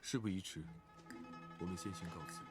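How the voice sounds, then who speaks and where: An actor playing a reserved, formal cultivator lord in an animated fantasy drama, terse and composed. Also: male voice, low voice, a little fast, loud music behind the voice, natural voice. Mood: grave, formal. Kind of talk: animated drama dub acting.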